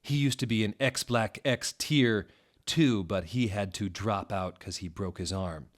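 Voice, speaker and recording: Man acting a suave, coaxing evil dark lord. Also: fairly low pitch, unhurried, lightly acted. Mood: explanatory.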